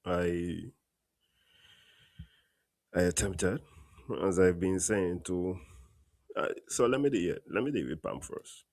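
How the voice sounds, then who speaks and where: A Caribbean man hosting a live political commentary show, a little stuffy from a cold, talking plainly and bluntly in a long unhurried monologue. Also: deep voice, darkish tone, dry recording, clean studio sound. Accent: Caribbean English. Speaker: host. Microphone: home studio mic.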